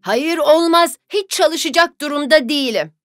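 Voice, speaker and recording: A woman's voice: high voice, normal speed, fairly bright tone. A woman voicing a lazy, reluctant farm cow in a children's cartoon. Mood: unwilling. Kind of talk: children's cartoon fairy-tale acting.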